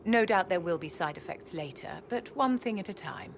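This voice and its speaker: A poised, authoritative strategist in a fantasy game. Her somewhat low voice is cool, measured and self-assured in its approval. Light ambient noise lies underneath. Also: expressive. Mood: confident, approving. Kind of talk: dramatic video game dialogue.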